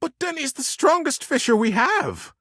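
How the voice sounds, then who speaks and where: A man voices a fretful storybook animal from a building crew, gossipy and uneasy. The voice jumps up high and swoops about as it wonders aloud. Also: very animated, normal speed, fairly bright tone, no echo, studio quality, full character voice. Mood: worried, curious.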